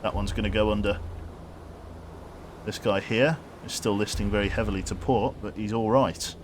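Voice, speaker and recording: A man streaming a submarine game, calmly giving orders aloud and commenting as he plays. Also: on the low side, normal speed, a little hiss or hum. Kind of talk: gameplay commentary. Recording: game music loud behind.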